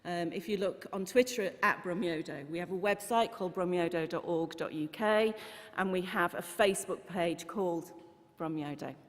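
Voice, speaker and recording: A woman's voice: fairly low pitch, normal speed. A woman organiser giving an earnest open mic talk at a conference, with frequent ums and restarts. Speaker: festival organiser. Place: church hall conference. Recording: long reverb of a large hall.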